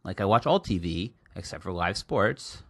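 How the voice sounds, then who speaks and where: A man hosting a podcast in casual chat, talking loud and close to the mic with a booming voice and teasing asides. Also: animated, no echo. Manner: chatty and teasing, quick asides. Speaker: podcast host. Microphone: close podcast mic, pops.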